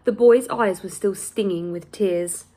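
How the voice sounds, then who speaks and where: A woman in her thirties reads a children's storybook aloud like a teacher, deliberate and expressive, with dramatic pauses. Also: mid-range voice. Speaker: primary school teacher reading aloud. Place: small plain room indoors.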